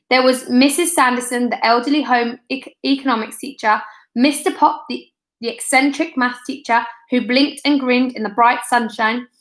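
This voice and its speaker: Higher-pitched woman reading a children's story. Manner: expressive, lifts voice for character dialogue. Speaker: storytime reader. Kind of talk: reading a children's book aloud, live online storytime.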